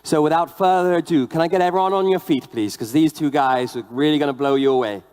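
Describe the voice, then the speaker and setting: Smooth-voiced male event host. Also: a little high, fast, a little mellow. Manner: hyping the crowd with fillers. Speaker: event host introducing speakers. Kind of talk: stage introduction. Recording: long hall reverb.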